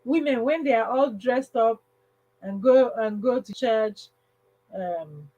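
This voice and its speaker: A woman's voice: on the high side, slow, dark tone, lo-fi sound. A woman hosting a casual livestream advice talk, speaking emphatically and repeating phrases for stress. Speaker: livestream host.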